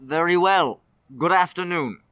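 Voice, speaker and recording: A man playing a bitter, miserly old man in a radio play, gruff, scoffing and theatrical. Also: high voice, unhurried, bright, forceful tone, no room sound. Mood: dismissive.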